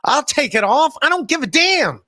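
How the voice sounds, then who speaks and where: Man in his forties, podcast host, self-deprecating banter, high bright voice. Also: big pitch swings, normal speed, dry recording, clean studio sound. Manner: self-deprecating, reads chat messages aloud. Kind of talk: casual chat. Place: home room, indoors. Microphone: studio mic with headphones, video call.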